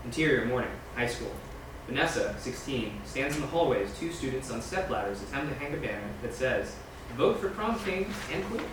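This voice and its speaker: Brisk man reading, in a very echoey room. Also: medium pitch, big pitch swings. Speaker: stage directions reader. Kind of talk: reading screenplay directions aloud. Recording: very echoey room, distant mic, noisy.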